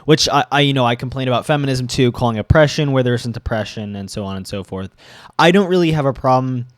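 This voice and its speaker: A young man ranting to camera, sick and a bit congested, halting and restarting as he gets agitated. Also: medium pitch, no echo, studio quality. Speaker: vlogger. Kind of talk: ranting commentary.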